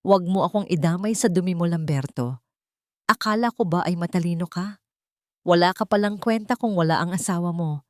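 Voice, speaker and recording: A woman's voice: fairly low pitch, brisk, dry recording, clean studio sound, lightly acted. Woman playing a cold, scornful mistress. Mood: disgusted.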